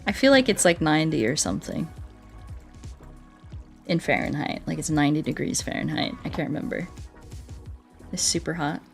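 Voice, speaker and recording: Young woman, American accent, lower-pitched voice, casual rambling streamer chat, music in the background. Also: moderate pace, creaky, pauses often. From United States. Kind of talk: casual livestream chat while gaming. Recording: music playing behind the voice.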